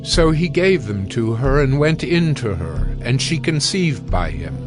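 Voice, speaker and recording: A man narrates scripture in a scripted audio drama style. His voice sits at a medium male pitch, with soft music underneath. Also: big pitch swings, a little slow.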